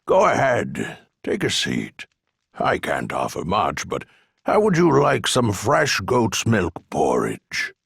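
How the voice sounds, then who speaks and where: A gravelly, deep-voiced, unhurried island hermit. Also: male voice, huge pitch swings, fairly bright tone, no room sound, clean studio sound, exaggerated voice. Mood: welcoming. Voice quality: gravelly, husky. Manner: courteous, wistful, unhurried. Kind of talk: video game cutscene acting.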